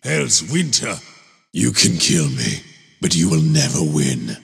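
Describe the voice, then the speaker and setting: A man playing a gruff, grim warrior of a wolf legion in a video game, menacing and declamatory, with gravel in his voice. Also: rumbling low, huge pitch swings, takes it slowly, a bit bright, long reverb tail, full character voice.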